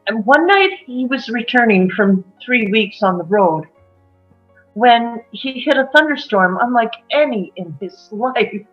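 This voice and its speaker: A woman playing a guarded, wistful small-town woman who confides old secrets haltingly in a drama table read. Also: fairly high pitch, expressive. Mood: wistful, storytelling. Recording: muffled, music underneath.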